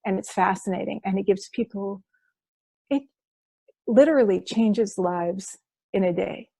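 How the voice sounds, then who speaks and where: A woman's voice: mid-range voice, measured pace, gravelly, mellow, breathy edge, dry recording. A woman who works as a hypnosis practitioner, explaining her sessions as a guest in an interview, enthusiastic and often restarting her phrases.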